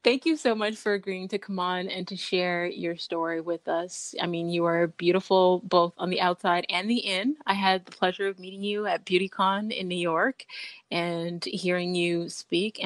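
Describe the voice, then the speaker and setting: A woman's voice: medium pitch, no echo. A woman hosting an interview, gushing and encouraging, laughing often. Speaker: show host.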